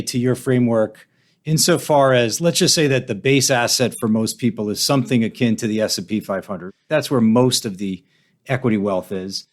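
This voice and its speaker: Male interviewer, medium pitch, discursive conversational delivery for a markets podcast.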